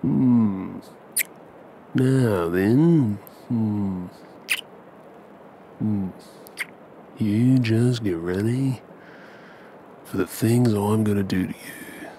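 An Australian man performing an ASMR bedtime roleplay as an affectionate, cheeky young husband and dad, coaxing and hushed. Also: lowish voice, extremely slow, long pauses, a little hiss or hum. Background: ambient sound effects.

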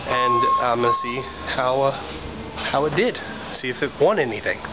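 A man's voice: medium pitch, normal speed. A man in his twenties vlogging casually to a handheld camera, rambling and joking at himself while he shops. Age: 20s. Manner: rambling, self-mocking, jokey asides. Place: supermarket, gas station and hardware store. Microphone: handheld camera at arm's length. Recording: loud store and street noise.

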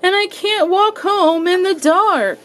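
A woman voicing a small greedy male bee in a storybook reading, with a high, squeaky, pleading voice. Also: takes it slowly, a bit bright, heavily acted. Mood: wailing.

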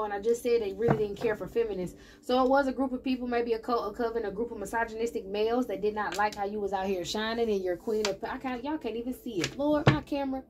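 A woman's voice: a little high, darkish tone, a little hiss or hum. A woman giving a casual tarot reading, chatting straight to her viewers. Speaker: tarot reader. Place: indoor table with candles and plants.

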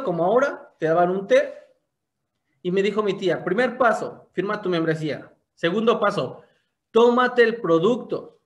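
A young man giving a motivational business testimony on a video call, telling his story and throwing rhetorical questions at his listeners. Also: high voice. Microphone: webcam on a video call.

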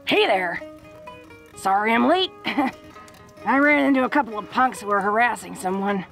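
A woman voicing a tough but awkward, smitten orc girl in an intimate romantic audio roleplay, stammering bashfully in informal, rough-and-ready speech. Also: medium pitch, lively intonation, moderate pace, a bit bright, soft background music. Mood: fond, bashful.

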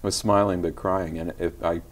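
A middle-aged man speaking gently as a guest in a TV studio interview, with many small hesitations, on a lapel mic. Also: sits low, level tone, moderate pace, heavy background noise. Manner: gentle, soft-spoken storytelling with hesitations. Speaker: guest musician. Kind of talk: casual interview talk. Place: tv studio set.

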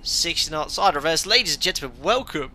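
A man who flies airliners for a living calmly commenting on a simulator landing and reading out checklist items as he goes. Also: fairly high pitch, fairly quick, slight background noise. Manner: calm running commentary, reads out checklist items. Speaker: airline pilot streaming a flight simulator. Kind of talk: live commentary while flying.

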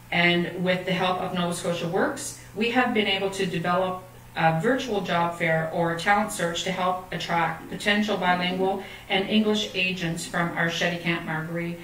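A woman's voice: lowish voice, fairly bright tone. A middle-aged woman reading a formal scripted presentation aloud as an officer at a lectern. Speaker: economic development officer giving a presentation. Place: meeting room indoors, at a lectern. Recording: echoey room, distant mic, faint noise.

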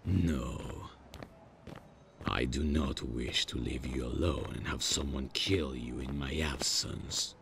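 A man acts out a proud male demon in an ASMR roleplay, with an exceptionally deep voice full of gravel. He speaks extremely slowly and haltingly, awkward yet menacing, in a grumpy deadpan. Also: noisy background, exaggerated voice. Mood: grudging. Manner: hesitant, deadpan, menacing, slow.

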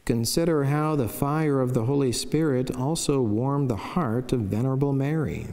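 A middle-aged man with a soft, mellow voice reads a devotional text as a friar would, solemn and measured. He speaks into a lectern microphone, and the chapel adds a long reverb around his words. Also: mid-range voice. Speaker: Franciscan friar and priest. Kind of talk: devotional reading of a saint's life, scripted. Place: wood-panelled chapel, indoors.

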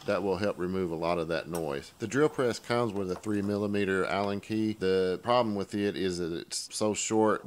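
A man with a very low-pitched voice talks through a hands-on tool review. He explains each step casually and practically, in plain everyday words, as a hobbyist would. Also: slight background noise.

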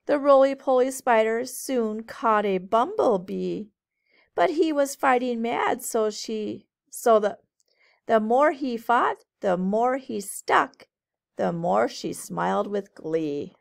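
A woman teacher reading a storybook aloud to children in a measured, sing-song way. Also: on the high side, expressive, creaky. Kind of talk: storybook read-aloud narration.

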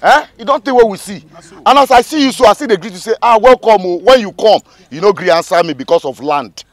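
A man's voice: high voice, animated, quick pace, dry recording. A man with a Nigerian accent playing a brazen, self-important community youth leader in a comedy skit, arguing emphatically. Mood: indignant. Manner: argumentative, emphatic, repeats himself. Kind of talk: comedy skit acting in Pidgin English.

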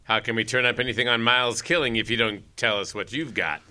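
A man's voice: mid-range voice, fairly even intonation, quick pace, pushed and bright, faint music behind. An actor playing a reasonable, friendly policeman in a radio drama, earnest and persuasive. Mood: earnest, appealing.

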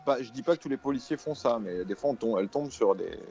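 A man's voice: medium pitch, animated, quick pace, creaky, a little mellow, highs cut off. Hesitant Parisian man chatting on radio. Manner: hesitant, many fillers and restarts. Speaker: radio panelist. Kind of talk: casual radio chat. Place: radio studio.

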